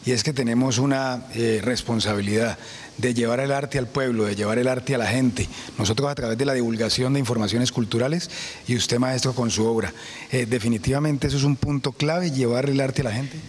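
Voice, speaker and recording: Male TV interviewer, Colombian, husky voice, deferential and long-winded. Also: mid-range voice, fairly even intonation, brisk. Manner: deferential, wordy. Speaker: interviewer, news director. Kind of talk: broadcast interview questions.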